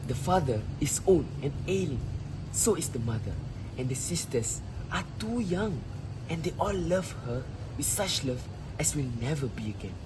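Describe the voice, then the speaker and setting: A man playing a troubled, cautious old villager in an amateur stage drama, speaking in deliberate, halting phrases. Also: fairly high pitch. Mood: anxious, grave. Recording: noisy ambient background. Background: clear ambient noise.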